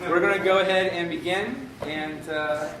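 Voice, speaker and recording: A man hosting a podcast, making informal, halting announcements in an apologetic way. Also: high voice, a crowd in the background. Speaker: podcast host. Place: live show at a comic shop, before an audience. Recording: roomy, distant mic, faint noise.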